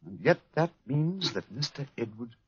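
An actor playing a keen, commanding English gentleman detective, brisk and precise, with a British accent in a radio drama. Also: mid-range voice, sing-song, lightly acted. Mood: grave. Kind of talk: 1940s radio acting. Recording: muffled, hissy old-time radio recording with clicks.

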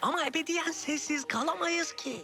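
A woman's voice: very high voice, normal speed, loud music behind the voice. A woman voicing a frank, wry sidekick in a dubbed children's cartoon. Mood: wry, doubtful.

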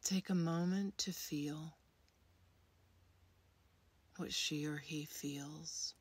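Female meditation guide: lowish pitch, soft husky breath, soothing delivery that leaves space between phrases. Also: fairly even intonation. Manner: soothing, unhurried, with long pauses between short phrases. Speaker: guided meditation leader, creativity coach. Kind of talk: guided meditation, gentle scripted narration.

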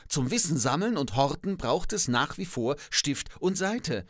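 Comic podcast role: pedantic, contrarian old-school professor, played by a man, precise and declamatory, lively pitch swings, dry close studio sound. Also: unhurried, no room sound. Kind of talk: scripted comic dialogue. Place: radio studio. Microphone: studio microphone.

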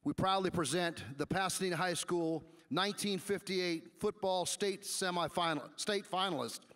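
A man's voice: high-pitched, moderate pace, fairly bright tone. A man with a slightly raised voice presents honorees at a sports banquet in a formal, announcing manner. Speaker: ceremony co-announcer.

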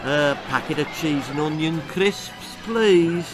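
A man's voice: fairly high pitch, slow pace, over music, low-quality recording. A man voicing a polite, dazed young boy in a storybook reading, with a meek, hesitant manner. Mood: meek politeness.

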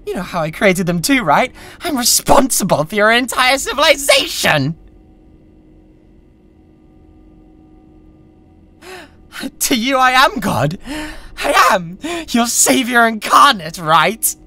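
A woman's voice: a little high, sing-song, a little slow, few pauses, exaggerated voice. A British woman playing an unhinged, condescending mad scientist with a god complex in a theatrical ASMR roleplay. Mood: imperious. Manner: theatrical, condescending, swinging between hushed and screaming. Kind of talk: dramatic ASMR roleplay monologue.

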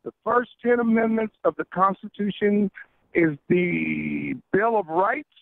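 Casual male contestant, joking, gravel in the voice, dull radio feed. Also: high-pitched, a little slow, dry recording. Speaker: quiz contestant.

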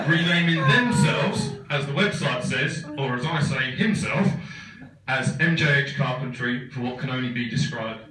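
A man in his thirties giving a teasing best man speech at a wedding, with a dry joking delivery. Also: fairly high pitch. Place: large wooden barn wedding hall. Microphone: phone camera far back in crowd. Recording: echoey hall from far off, laughing crowd.

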